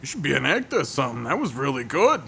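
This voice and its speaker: A chatty, eager young cab driver. His voice is gravelly yet bright and swings lively in pitch. Faint noise sits in the background. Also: fast, exaggerated voice. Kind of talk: audio drama acting.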